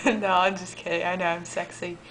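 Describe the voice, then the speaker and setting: A woman's voice: on the low side, fairly even intonation, normal speed, a bit bright, slight background noise, pitched below the performer's own voice, close to an everyday voice. A woman voicing a smug, casual young male nurse in an amateur puppet show.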